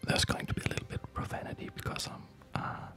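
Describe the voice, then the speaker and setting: Whispering man, casual ASMR ramble. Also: music in the background. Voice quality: breathy whisper. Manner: halting, digressive, earnestly explanatory. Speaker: ASMR video host.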